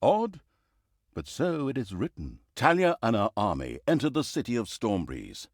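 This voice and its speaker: Theatrical, sardonic fantasy game narrator. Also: male voice, medium pitch, huge pitch swings, moderate pace, dry recording, studio quality. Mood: wry, sardonic. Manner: sardonic, dryly humorous, mock-dramatic. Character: sarcastic, pompous male storyteller of evil deeds.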